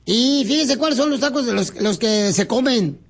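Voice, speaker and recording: A man putting on a goofy, flirtatious, naive male caller with a Mexican accent, talking in cheeky slang and deadpan wordplay on a prank call. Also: very high voice, normal speed. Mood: silly, cheeky.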